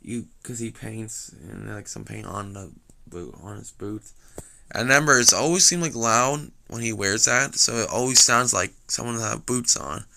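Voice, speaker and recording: A young man with a slightly raspy voice telling a rambling story, full of fillers and restarts. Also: lowish voice, moderate pace, pushed and bright. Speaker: vlogger.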